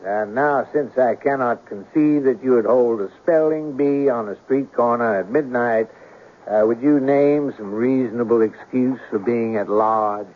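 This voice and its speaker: An actor playing a courteous, eccentric gentleman writer in a 1970s radio drama, speaking formally and grandiloquently. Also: mid-range voice, a little slow, natural voice. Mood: formal, wry. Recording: dull old radio broadcast.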